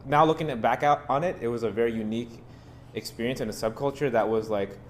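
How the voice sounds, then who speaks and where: A young man, a rapper guest in a basement podcast interview, talking in a relaxed, joking way with lots of "like" fillers. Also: medium pitch, fast, a little hiss or hum. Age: young adult. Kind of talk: casual interview chat. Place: home basement.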